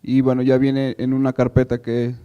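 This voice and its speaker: A man's voice: mid-range voice, monotone, normal speed, clear, resonant tone, lo-fi sound. A man giving unscripted instructions to attendees as a workshop organiser.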